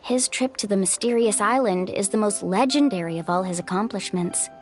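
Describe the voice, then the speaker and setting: A woman's voice: medium pitch, fairly quick, natural voice. An actress voicing a caring young woman who leads her group as their guide in a video game, reassuring and upbeat. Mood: reflective. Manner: warm, reassuring, leader-like. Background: game music.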